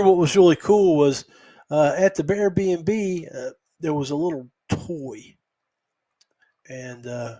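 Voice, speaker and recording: Halting, folksy man recapping casually. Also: on the high side, darkish tone. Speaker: podcast host. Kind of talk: casual unscripted fishing recap.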